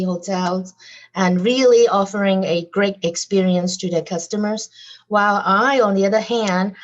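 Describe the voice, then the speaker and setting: A woman speaking in a slightly low voice, chatting in an interview with a lively pitch. She fills her sentences with "uh" and "um" and laughs lightly now and then. The audio is dull and lo-fi, as if from a remote call. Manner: animated, with frequent "uh" and "um" fillers. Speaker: guest, clothing designer and business owner. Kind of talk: conversational podcast interview.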